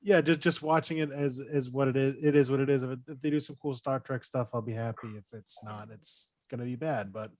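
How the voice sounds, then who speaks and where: A man's voice: medium pitch, fairly even intonation, fairly quick, hardly any pauses, darkish tone, no room sound. A man speaking as a podcast panelist in casual group chat, hesitant and often rewording his phrases.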